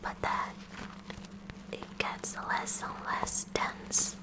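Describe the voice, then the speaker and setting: Woman as a whispering, kooky science club girl. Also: rough recording. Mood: hushed fascination. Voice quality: breathy whisper. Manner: halting, musing, clinical fascination. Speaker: ASMR performer. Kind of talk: whispered ASMR roleplay.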